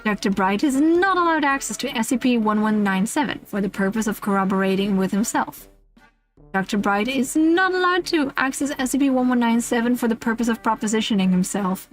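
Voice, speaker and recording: High-voiced woman reading aloud over music. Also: animated, a little fast. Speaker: streamer reading a humorous list aloud. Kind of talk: casual livestream read-aloud.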